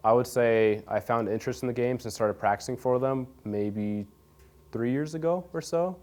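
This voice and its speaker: A young man from Nebraska answering interview questions in a relaxed, rambling way, full of fillers and restarts. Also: medium pitch, normal speed. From Nebraska, USA. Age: young adult. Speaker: interview guest. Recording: radio studio interview.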